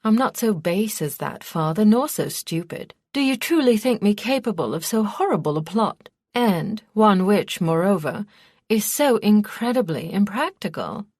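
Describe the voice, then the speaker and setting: A woman voicing a sharp, scheming young princess in an audiobook, haughty, emphatic and defiant. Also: medium pitch, animated, normal speed, dry recording, clean studio sound, pitched above the performer's own voice. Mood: indignant, defiant.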